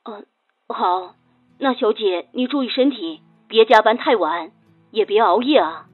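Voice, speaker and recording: A woman's voice: a little high, animated, a little slow, slightly rough, phone-quality sound, faint music behind. A woman voicing a motherly, deferential older housekeeper, solicitous in manner, through a phone filter in an audiobook. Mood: concerned.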